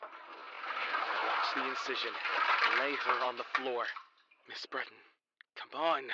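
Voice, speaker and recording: An actor playing a paramedic giving clipped, commanding orders. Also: male voice, medium pitch, steady tone, slow pace, muffled recording, very noisy. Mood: urgent. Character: urgent male paramedic team lead. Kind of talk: audio drama acting.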